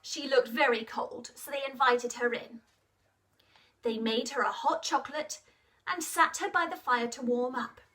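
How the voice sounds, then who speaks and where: Female children's storyteller, high voice, unhurried, expressive and interactive, slightly distant mic. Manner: expressive, asks young listeners questions, encourages them to act along.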